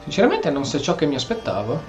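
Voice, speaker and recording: A man's voice: on the high side, huge pitch swings, rapid-fire, soft background music. A man with an Italian accent giving casual commentary and role-playing along as he plays a video game. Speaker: gameplay streamer.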